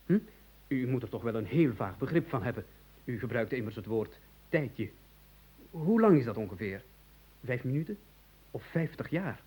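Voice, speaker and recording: An actor playing an excitable, gloomy musician in an absurd comic radio play, complaining theatrically and at wordy length. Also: male voice, mid-range voice, normal speed, long pauses, breathy edge, low-quality recording. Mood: needling. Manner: theatrical, verbose, plaintive complaining.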